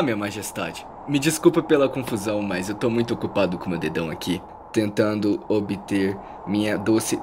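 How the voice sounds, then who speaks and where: Man voicing a low, menacing cartoon dog villain, Brazilian. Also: noisy background, lightly acted. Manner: theatrical, foul-mouthed, taunting. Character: menacing, vengeful muscular talking dog villain, male. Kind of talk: over-the-top cartoon dub acting. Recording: loud music behind voice.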